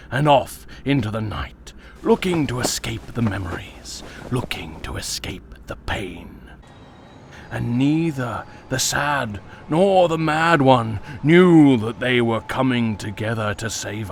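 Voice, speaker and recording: A man playing a solemn elder storyteller of an otherworldly people, narrating gravely with dramatic pauses and hushed phrase endings. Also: medium pitch, expressive, a little hiss or hum. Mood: grave, foreboding. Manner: slow, grave, pausing, hushed at phrase ends.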